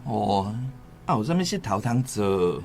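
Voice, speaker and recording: An actor playing a concerned, doubtful village husband in a radio drama, with a Taiwanese accent and a questioning manner. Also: male voice, on the low side, big pitch swings, measured pace, vocal fry, darkish tone, faint music behind, heavily acted. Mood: worried doubt.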